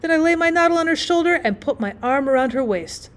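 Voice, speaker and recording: A woman voicing a giddy, boastfully happy newlywed gentleman in an audiobook, tipsy, effusive and rambling. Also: high-pitched, animated, fast, voice pushed up. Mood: blissful, gushing. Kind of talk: acted audiobook storytelling.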